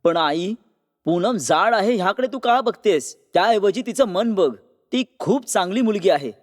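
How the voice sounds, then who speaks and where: A man's voice: high voice, expressive, normal speed. A man playing an earnest, persuasive son in a cartoon moral story. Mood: pleading. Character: earnest, pleading men of a family, son and father. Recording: long hall-like reverb.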